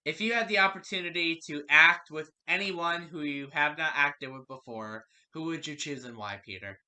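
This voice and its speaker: A man's voice: a little high, moderate pace, sharp tone, clear, resonant tone. A man hosting an interview show, politely and formally putting prepared questions to a guest. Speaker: radio show host and interviewer.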